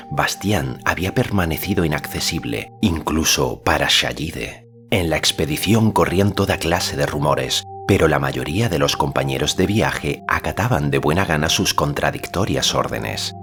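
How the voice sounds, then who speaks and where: A man narrating a fantasy novel as an audiobook, in a measured, solemn storytelling manner. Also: rumbling low, fairly bright tone. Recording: music behind the voice. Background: background music.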